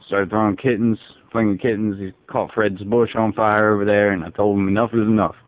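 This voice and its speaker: A man playing a folksy, easygoing, bewildered dad, rambling deadpan on a prank phone call. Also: on the low side, a little fast, slight background noise, close to an everyday voice. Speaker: prank caller. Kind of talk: casual phone prank, improvised. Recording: muffled narrow phone line.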